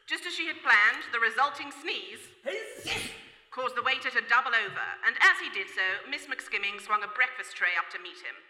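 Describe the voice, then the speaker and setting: An actress playing the wry narrator of a comic radio mystery, measured and mock-dramatic. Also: female voice, a little high, lively intonation, pushed and bright. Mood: mock suspense. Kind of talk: scripted radio play narration. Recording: echoey hall, live stage.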